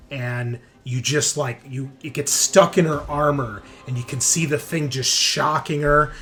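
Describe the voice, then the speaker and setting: A man's voice: medium pitch, pushed and bright. A man working as game master, narrating a tabletop horror game in vivid second-person description mixed with casual banter.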